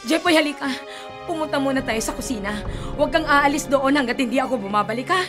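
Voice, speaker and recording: A woman's voice: high-pitched, fast talker, lightly acted. An actress playing an anxious older grandmother and mother in a radio horror drama, talking with fretful worry. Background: dramatic music.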